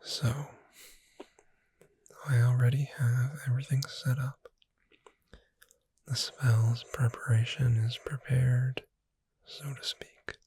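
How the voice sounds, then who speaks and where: A performer voicing a gentle, reassuring witch bookseller in a breathy whisper, soothing the listener in an ASMR roleplay. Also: medium pitch, little pitch movement, rough recording. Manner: slow, gentle, soothing, addressing the listener directly.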